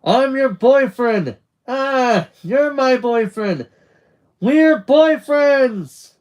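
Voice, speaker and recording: A man voicing an excitable, affectionate teenage boy in a story, exuberant and eager. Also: sits high, slow pace, pitched above the performer's own voice, exaggerated voice. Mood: overjoyed.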